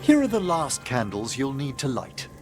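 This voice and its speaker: An actor playing a courteous, scholarly, melancholy older necromancer mage in a video game, speaking formally and theatrically. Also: male voice, mid-range voice, huge pitch swings, moderate pace, soft background music. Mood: warmly enthusiastic.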